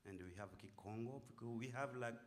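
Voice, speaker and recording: A Congolese man with Lingala as his first language, a band singer speaking halting, spaced-out English at a college Q&A. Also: medium pitch. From Democratic Republic of the Congo. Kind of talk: introducing himself at a college Q&A. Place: college music hall, reverberant. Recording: reverberant hall.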